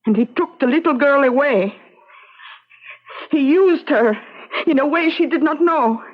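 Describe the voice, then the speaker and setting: An actress playing a wronged, grieving young wife in a radio drama, with a Spanish accent and halting, broken English. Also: female voice, very high-pitched. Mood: anguished bitterness. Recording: dull, lo-fi radio drama recording.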